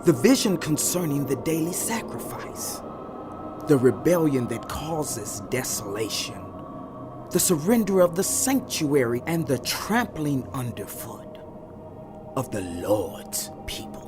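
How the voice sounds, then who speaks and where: Slow, swooping holy angel. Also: male voice, on the high side, big pitch swings, over music. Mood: solemn, questioning. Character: holy angelic being asking solemnly about a vision. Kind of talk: dramatized scripture reading.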